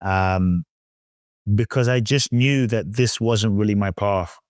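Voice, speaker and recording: A middle-aged man from London, a spiritual teacher, telling his story reflectively as an interview guest in measured, emphatic short phrases with some repetition. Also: lowish voice, a little mellow, dry recording, studio quality. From London, England.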